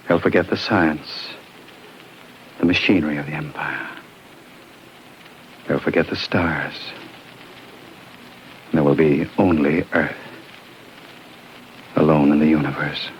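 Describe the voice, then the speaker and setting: An actor playing a desperate, bitter scientist in an impassioned 1950s radio drama. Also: male voice, a bass voice, steady tone, takes it slowly, long pauses. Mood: weary, bitter. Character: desperate, bitter male scientist pleading to save the galaxy. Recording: muffled, noisy old radio recording.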